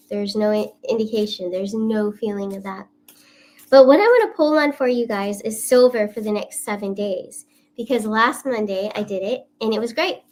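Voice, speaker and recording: A woman hosting her own channel, talking casually and enthusiastically to her viewers, rambling with many pauses and restarts. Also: medium pitch, a little mellow. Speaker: psychic and astrology channel host. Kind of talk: casual chatty vlog to viewers.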